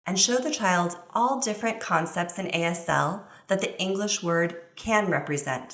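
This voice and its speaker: A woman giving instructional advice to parents in an expressive, emphatic way, with examples of reading aloud. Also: mid-range voice, roomy sound.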